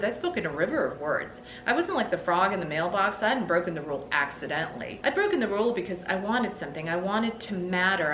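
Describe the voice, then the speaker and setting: Middle-aged woman, expressive read-aloud, deliberate phrasing, echoey indoor room, faint background noise. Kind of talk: storybook read-aloud narration. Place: indoors in a small room lined with bookshelves. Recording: roomy echo, clear background rumble.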